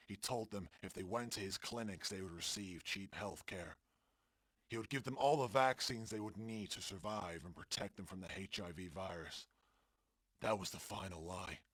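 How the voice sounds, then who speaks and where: A man playing a sombre former mercenary, delivering a measured, solemn confession in an audio drama. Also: lowish voice, fairly bright tone, dry recording. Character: sombre male ex-mercenary confessing on a recorded journal. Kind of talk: scripted audio drama monologue.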